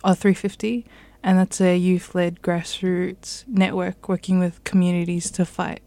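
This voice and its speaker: A young New woman chatting as an interview guest. She keeps stopping with "um" and "like" as she talks. Her voice sits lowish and fairly level, with a mellow, dark tone on a dry, close recording. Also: little pitch movement, measured pace, no echo. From New Zealand. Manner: chatty, many fillers like "um" and "like". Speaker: climate activist guest. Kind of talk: casual interview answers.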